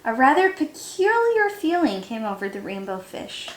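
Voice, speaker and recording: A woman teacher reading a picture book aloud in an expressive, animated way to young listeners. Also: high voice, moderate pace, a little hiss or hum. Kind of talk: expressive children's read-aloud. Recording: roomy, echoey sound.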